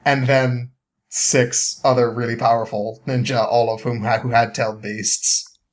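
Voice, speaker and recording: A man telling a story casually as a podcast guest, halting and rambling as he goes, with an amused manner. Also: mid-range voice, level tone, poor audio.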